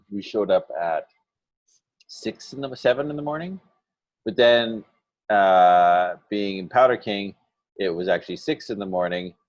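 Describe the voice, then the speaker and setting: A man's voice: sits low, dry recording. A man, a videographer, recounting a shoot in a matter-of-fact, casual way. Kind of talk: casual chat. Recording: slightly dull dry sound.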